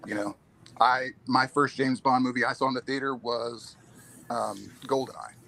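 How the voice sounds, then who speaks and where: A man's voice: medium pitch, steady tone, normal speed, gravelly, pushed and bright. A man appearing as a guest on a casual chat show, explaining his opinions at length.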